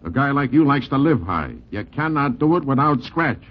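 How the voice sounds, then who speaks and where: An actor playing a hard-nosed, domineering boxing manager, curt and slangy, in a 1940s gangster radio drama. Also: male voice, medium pitch, sing-song, moderate pace. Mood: hard, pressuring. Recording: muffled old radio recording.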